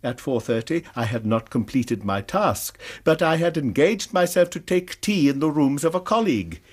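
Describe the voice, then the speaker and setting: Radio drama: anxious, formal British male academic, precise and earnest, lively pitch, slightly rough voice. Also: moderate pace. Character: anxious, proper college lecturer reporting a crime.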